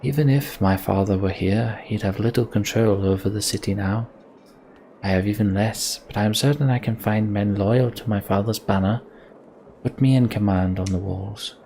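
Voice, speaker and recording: A man's voice: normal speed, mellow, slight background noise. A man hosting a let's play, commenting on a game and reading its dialogue aloud. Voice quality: low, creaky.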